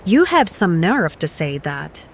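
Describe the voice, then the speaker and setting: A woman's voice: fairly low pitch, sing-song, slow pace, slight background noise, exaggerated voice. A woman acting an earnest, defensive hireling in an audio drama.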